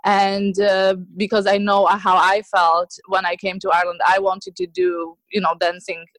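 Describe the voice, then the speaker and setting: A woman's voice: mid-range voice, little pitch movement, moderate pace, fairly bright tone, no room sound, poor audio. A woman in her thirties from Slovakia, answering interview questions about her dance group in a chatty way, with many fillers and restarts. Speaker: guest, dance teacher.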